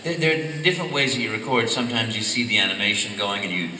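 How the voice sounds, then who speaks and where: Older man, bright lively voice, cheerful panel chat, echoey convention hall, distant mic. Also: on the low side, quick pace, a little hiss or hum. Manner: cheerful, bouncy, full of little exclamations. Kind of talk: casual panel talk. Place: large convention hall stage. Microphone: table mic picked up from the audience side. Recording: echoey hall recorded from far off.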